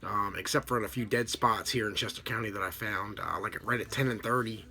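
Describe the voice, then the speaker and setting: A middle-aged American man giving a casual, unscripted explanation to camera in a halting, rambling way. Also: lowish voice, sharp tone, breathy edge. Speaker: vlogger, radio scanner hobbyist. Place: small home room with a desk, indoors. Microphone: computer webcam at desk distance.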